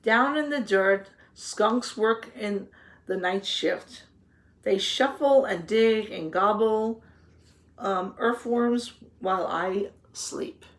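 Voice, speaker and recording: A woman reading a children's picture book aloud, pausing to explain things simply and put questions to the young listeners. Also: medium pitch. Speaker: read-aloud teacher.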